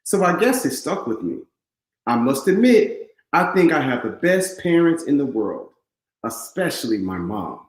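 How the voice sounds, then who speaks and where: A man voicing an excitable, affectionate eleven-year-old boy in an animated, earnest read-aloud of a children's storybook. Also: medium pitch, a little slow. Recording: roomy echo.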